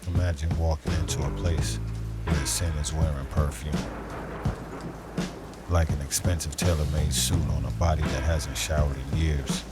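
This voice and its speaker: Very deep brooding noir detective, acted by a man. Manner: measured, dramatic, terse. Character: brooding, street-smart male police detective narrating a noir mystery. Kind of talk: noir audio drama narration. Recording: voice over prominent lo-fi music.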